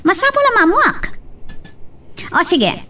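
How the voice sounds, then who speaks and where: An actress playing a chatty, inquisitive young woman from a wealthy family in a radio drama, with an expressive Filipino accent. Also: very high voice, takes it slowly, far from the mic, soft background music, full character voice. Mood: curious, chatty. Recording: off-mic, noisy.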